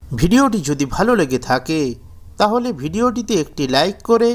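A woman's voice: mid-range voice, very animated, moderate pace. A woman narrator reading a scripted moral story for children.

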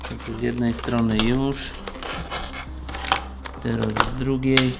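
A man talks through a practical installation in a slow, unhurried way. His pitch is medium and stays very level, with the plain manner of a technician showing each step. Music plays behind his voice. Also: little pitch movement, gravelly. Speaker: motorcycle shop technician demonstrating installation. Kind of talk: casual hands-on tutorial narration.

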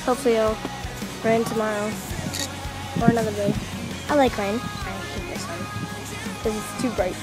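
A woman's voice: on the high side, lively intonation, normal speed, long pauses, loud music behind the voice, poor audio. A teenage girl filming a casual outfit vlog outdoors in a suburban yard, chatty and gushing, recorded on a phone camera set on a mailbox. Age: teens. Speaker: fashion vlogger.

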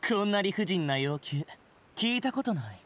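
A man playing a haughty, sharp-tongued young wanderer in a Japanese anime game, talking in a sneering, sardonic way. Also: sits high, animated, moderate pace, noisy background. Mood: scornful.

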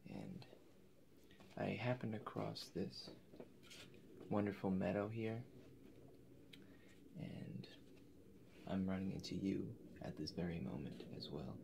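A man in his twenties in a soft-spoken ASMR roleplay as an eccentric, polite painter, halting and slipping into whispers. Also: low-pitched, big pitch swings, gravelly, background noise. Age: 20s. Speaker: ASMR performer. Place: indoors in front of a forest backdrop.

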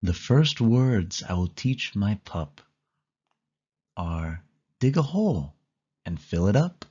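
Slow, sing-song man reading to kids. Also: lowish voice, slightly rough, dull audio. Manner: slow, sing-song storytelling with lively rises and falls. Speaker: storybook reader. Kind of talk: children's read-along narration.

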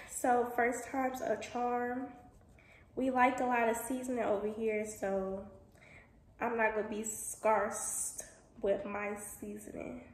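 Chatty young woman cooking in an echoey kitchen. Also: fairly high pitch. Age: young adult. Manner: chatty, informal, addresses viewers as y'all and boo. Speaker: cooking vlogger. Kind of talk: casual cook-along narration. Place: home kitchen, indoors. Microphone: camera on counter, at a distance. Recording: echoey kitchen with noticeable background noise.

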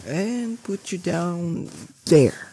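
A young man playing a strong, doting boyfriend in an intimate roleplay audio drama, coaxing and teasing affectionately with drawn-out words. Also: high-pitched, animated, warm tone, slight background noise, full character voice. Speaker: voice actor.

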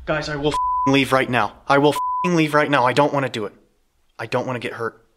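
A young man hosts a casual paranormal investigation in a cave. His voice sits at a middle pitch, and he speaks earnestly, swearing here and there. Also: brisk, noisy background. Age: young adult. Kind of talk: casual ghost-hunting vlog talk. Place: inside a dark cave at night.

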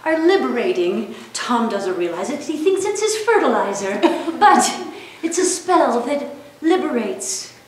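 A woman's voice: a little high, big pitch swings, unhurried, few pauses. A woman performing improvised comic fairytale scenes on a stage. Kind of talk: improvised musical comedy on stage. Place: small theater stage, indoors. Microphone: far camera from the audience. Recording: echoey theater, distant mic, guitar behind.

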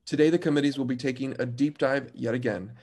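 Gravelly-voiced man chairing a video hearing. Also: mid-range voice, steady tone, fast, a little mellow. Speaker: committee chair. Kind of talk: opening statement at a video hearing. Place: home office, indoors. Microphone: video call.

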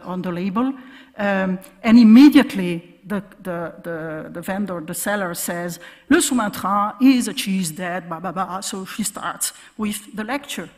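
A woman researcher delivers an academic conference talk in a big hall with long reverb. Her voice sits at a middle pitch, and her explanations come haltingly, with words repeated as she searches for the next one. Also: darkish tone. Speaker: keynote speaker, academic researcher. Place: large conference hall stage, at podium. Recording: reverberant lecture hall sound.

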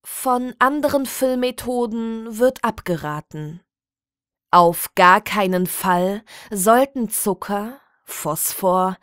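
Slow, formal deadpan woman narrator. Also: medium pitch, dry recording, clean studio sound. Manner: formal, measured, deadpan bureaucratic delivery. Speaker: narrator of a satirical audio story. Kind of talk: scripted reading of a mock official public notice.

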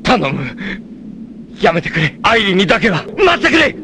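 An intense, bright-edged anime martial artist. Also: male voice, high-pitched, huge pitch swings, a little hiss or hum, exaggerated voice. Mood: desperate pleading. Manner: intense, theatrical, from cool measured speech to anguished shouts. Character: fierce, proud martial artist hunting his sister's abductor. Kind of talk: dramatic anime acting.

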